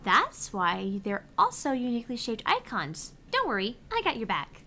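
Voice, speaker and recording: A woman's voice: on the high side, huge pitch swings, normal speed, sharp tone, a little hiss or hum, exaggerated voice. A woman voicing a perky, reassuring female app assistant, reading game dialogue in a chirpy, encouraging, playful manner. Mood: upbeat, reassuring. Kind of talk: reading visual novel game dialogue aloud.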